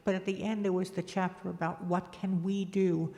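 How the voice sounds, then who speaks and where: Gravelly, dark-toned voice asking a sincere question. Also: medium pitch, level tone, moderate pace. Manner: sincere, moved. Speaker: audience member asking a question. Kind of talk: audience question. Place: church hall. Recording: long church hall reverb.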